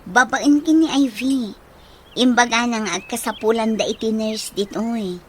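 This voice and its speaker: An actress with an Ilocano accent playing a teasing, lively young woman in a radio drama. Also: on the high side, moderate pace, a little hiss or hum, lightly acted. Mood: puzzled, brisk.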